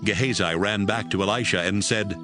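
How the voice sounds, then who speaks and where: A man's voice: lowish voice, fairly quick, over music. A man narrating a dramatized Bible reading as a scripted storyteller.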